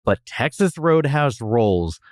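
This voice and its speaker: A man's voice: medium pitch, big pitch swings, slow pace, dry recording, clean studio sound. A man co-hosting a casual comedic restaurant review, talking emphatically with slangy exaggeration. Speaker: podcast co-host reviewing restaurants.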